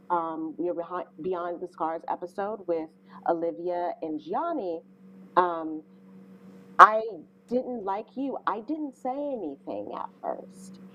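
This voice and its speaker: A woman's voice: on the low side, fairly bright tone, lo-fi sound, slight background noise. A woman co-hosting an interview, speaking earnestly and thoughtfully with a big voice and many fillers. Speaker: co-host. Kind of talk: interview questions.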